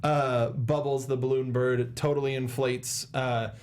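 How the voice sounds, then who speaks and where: A man's voice: medium pitch, level tone, a little slow, darkish tone. A young man co-hosting a casual film review chat, playfully sarcastic and mock-dramatic, close on a studio microphone. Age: young adult. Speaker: talk show co-host. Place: small indoor studio. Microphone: close desk microphone with foam windscreen, headset monitoring.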